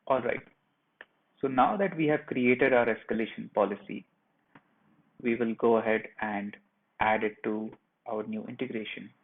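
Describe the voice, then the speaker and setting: A man presenting a software tutorial, narrating each step of a screen share in a plain, instructional way. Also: mid-range voice, normal speed, pauses often. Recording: muffled phone-like narrow band.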